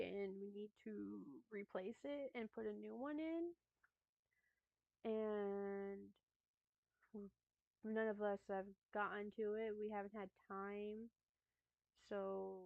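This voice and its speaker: Female livestreamer, slow and hesitant with a creaky edge, casual off-the-cuff chat. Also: medium pitch, no room sound. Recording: thin phone-like lo-fi audio.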